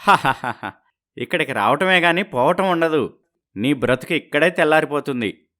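An actor plays an arrogant, scheming husband in a dramatized moral story. The voice sits at a middle pitch. He boasts, mocks and threatens with a sneering, careless superiority. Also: lively intonation, normal speed, sharp tone. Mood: mocking, gloating. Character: arrogant, scheming, mocking husband living in America.

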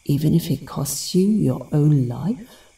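Woman as wise temple guardian, mellow dark tone, grave, formal, audio drama, slightly off-mic. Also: low-pitched, animated, takes it slowly, gravelly, recorded from a distance, exaggerated voice, poor audio. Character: solemn, wise female temple guardian warrior.

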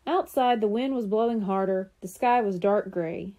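A woman reading a children's storybook aloud in an expressive, animated way, acting out the dialogue. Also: mid-range voice, normal speed. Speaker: audiobook reader.

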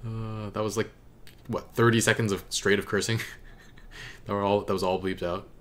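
A man's voice: on the low side, steady tone, moderate pace, a bit bright. A young man giving casual reaction commentary as the host, recorded indoors at a desk microphone. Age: young adult. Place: indoor room at home. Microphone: desk microphone close in front.

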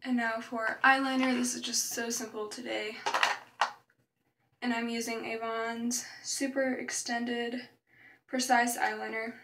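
Bright, gravelly-voiced young woman vlogging. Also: fairly high pitch, level tone, measured pace, reverberant, far from the mic, slight background noise. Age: young adult. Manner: chatty, narrates each step while applying makeup. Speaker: makeup vlogger. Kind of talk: casual makeup tutorial. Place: small bedroom, indoors. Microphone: built-in camera mic at arm's length.

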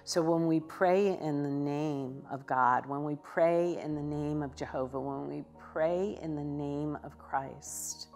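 A woman minister giving a contemplative devotional teaching in a living room, with measured delivery and occasional hesitations. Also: sits low, steady tone, darkish tone, faint music behind. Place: living room with a sofa, indoors.

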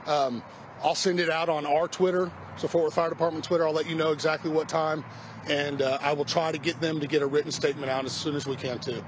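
Halting fire spokesman outdoors. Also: on the high side, level tone. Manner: halting, repeats words when unsure. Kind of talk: press briefing. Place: outdoors by a parking lot. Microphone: news camera mic, close. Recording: outdoor street noise.

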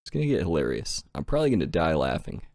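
Low-voiced, animated man commentating casually. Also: fast talker, creaky, dry recording, studio quality. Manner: amused, giggly, easygoing. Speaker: game streamer, channel host. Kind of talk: casual profane gameplay commentary. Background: game music.

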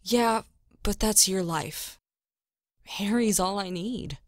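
A woman's voice: medium pitch, slow, no echo, clean studio sound, natural voice. A woman playing a weary, reserved mother in an audio drama, with a subdued delivery.

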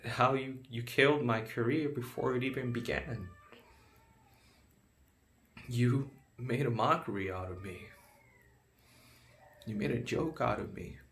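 A man's voice: medium pitch, a little slow, vocal fry, pauses often. A man playing a stammering, unhinged failed actor. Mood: bitter. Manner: stammering, repeats words, veers between whispers and shouts. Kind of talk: dramatic monologue.

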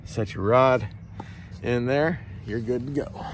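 A man, hosting a casual outdoor how-to video with a relaxed, folksy way of talking. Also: mid-range voice, slow pace. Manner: relaxed, folksy, repeats himself with fillers. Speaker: fishing channel host. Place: outdoors by a wooded riverbank. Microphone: camera on a tripod a few feet away. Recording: outdoor noise, traffic in the background.

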